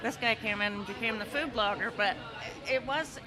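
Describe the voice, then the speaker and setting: Guest, woman with a light country twang, high voice, crowd chatter behind her. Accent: slight country twang. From Okanagan, British Columbia. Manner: laughs easily, quick affirmations. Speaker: guest, writer. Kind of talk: casual conversational interview.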